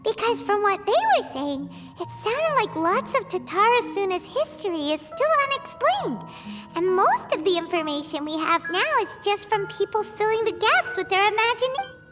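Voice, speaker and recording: A woman voicing a tiny excitable girl companion creature who speaks of herself in the third person, in a video game scene. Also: normal speed. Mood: chatty. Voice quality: squeaky high voice. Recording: loud game music under voice. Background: game background music.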